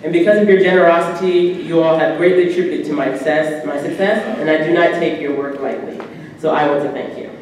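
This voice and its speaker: A woman's voice: sits low, fairly quick. Young American woman speaking in an echoey hall. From United States. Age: 20s. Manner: animated storytelling with acted-out quotes. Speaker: guest speaker. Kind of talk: prepared personal speech to a club audience. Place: indoor banquet room at a podium. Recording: echoey hall from far off, faint noise.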